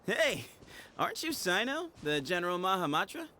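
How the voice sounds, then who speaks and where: A man's voice: high-pitched, big pitch swings, moderate pace, pushed and bright, slight background noise, full character voice. A man voicing a cocky, easygoing young gang leader in a video game, with a sly, teasing, breezy manner. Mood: probing.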